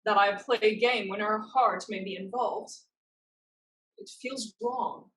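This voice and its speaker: A woman's voice: medium pitch, steady tone, unhurried, a bit bright, close to an everyday voice, low-quality recording. A woman acting a blunt, proud young female pirate fighter in a staged reading of a drama. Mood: troubled.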